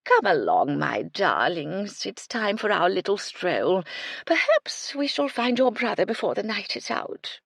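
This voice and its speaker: A woman's voice: mid-range voice, sing-song, measured pace, vocal fry, dry recording, studio quality, voice pushed up, exaggerated voice. A woman voicing an eccentric elderly dowager who fusses over imaginary pet birds, in a haughty, fluttery and plaintive way. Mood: cooing.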